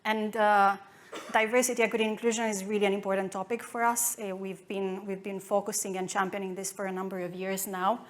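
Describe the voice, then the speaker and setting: A woman's voice: medium pitch, flat delivery, brisk. Hesitant Romanian-accented woman speaker. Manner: hesitant, many fillers and restarts. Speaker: conference speaker. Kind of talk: conference talk.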